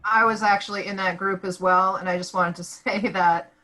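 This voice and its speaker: A woman's voice: mid-range voice, fairly even intonation, moderate pace, pushed and bright, poor audio. A woman taking part in a group discussion, casually sharing her thoughts. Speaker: discussion participant.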